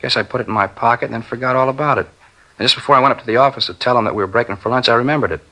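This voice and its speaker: An actor playing an earnest, defensive film studio worker under questioning in a 1950s radio drama. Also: male voice, medium pitch, very fast, bright, forceful tone, rough recording. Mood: anxious explaining. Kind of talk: 1950s radio acting, naturalistic dialogue.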